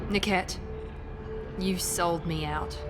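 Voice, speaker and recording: A woman's voice: fairly low pitch, steady tone, takes it slowly. Proud, guarded woman operative, music behind. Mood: hurt, angry. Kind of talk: dramatic video game acting.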